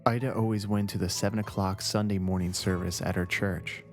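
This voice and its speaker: Lowish male scary-story narrator over music. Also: steady tone. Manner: measured, short deliberate phrases. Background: background music.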